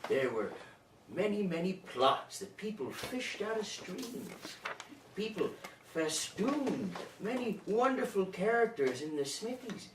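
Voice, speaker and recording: A man's voice: sits high, very animated, takes it slowly, heavily acted. A man playing a wistful, folksy village storyteller in a dramatic monologue, leaning on repeated words. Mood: fond, grand. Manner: dramatic, folksy, emphatic repetition. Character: wistful male villager and storyteller mourning darker times. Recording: echoey room, far from mic, noisy.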